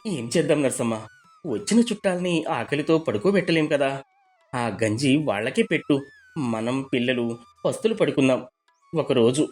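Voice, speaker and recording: A man's voice: medium pitch, lively intonation, normal speed, darkish tone, soft background music, close to an everyday voice. A man playing a poor, good-humoured village father in a storybook, folksy, wry and earnest. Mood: resigned. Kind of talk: acted moral storybook dialogue.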